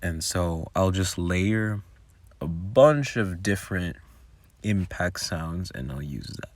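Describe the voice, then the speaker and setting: A man's voice: low voice, slow pace, a little hiss or hum. A man giving casual behind-the-scenes commentary on his own audio work in a rambling, informal way. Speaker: audio roleplay creator.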